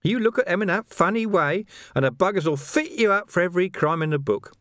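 A man's voice: high-pitched, animated, a little fast, a bit bright, dry recording, clean studio sound, voice pushed up. A man doing a sly, cackling cheerful crook. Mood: wheedling. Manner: wheedling, mocking, laughing. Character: sly cheerful male criminal, sneering and cocky.

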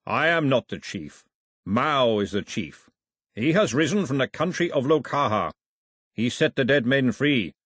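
A man's voice: medium pitch, animated, a little slow, a bit bright, breathy edge, no room sound, studio quality. A man voicing a huge, proud, earnest island warrior with a declamatory manner in an audiobook story. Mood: solemn. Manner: declamatory, emphatic.

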